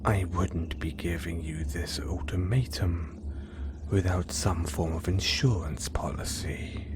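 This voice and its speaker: A man playing a scheming, obsessive male supervillain who speaks in a hushed, whispery voice, smooth and coaxing with a quiet threat underneath. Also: low voice, fairly even intonation, slow pace, background noise. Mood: menacing. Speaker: villain in an audio roleplay. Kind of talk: audio roleplay acting.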